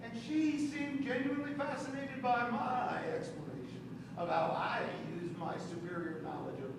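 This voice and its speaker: A man's voice: very high-pitched, moderate pace, vocal fry. A man playing a sardonic, wordy retired critic in an amateur stage comedy. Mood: self-satisfied. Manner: sarcastic, grandly verbose. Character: sardonic retired male critic, older than he looks, theatrical. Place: theater stage recorded from the audience. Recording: very echoey stage, distant mic, loud noise.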